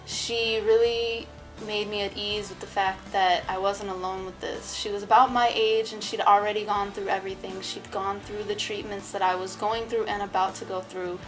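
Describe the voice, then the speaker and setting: A woman's voice: mid-range voice, flat delivery, normal speed, fairly bright tone, soft background music, low-quality recording. A woman earnestly reflecting on the support she received during cancer treatment. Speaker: cancer survivor giving a testimonial. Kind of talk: personal testimonial.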